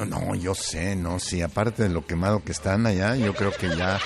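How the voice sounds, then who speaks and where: Playful joking radio host, low voice. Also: male voice, fairly even intonation, creaky, over a noisy crowd. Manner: playful, joking, drawn-out shouts. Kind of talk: lively radio call-in chat. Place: Los Angeles studio.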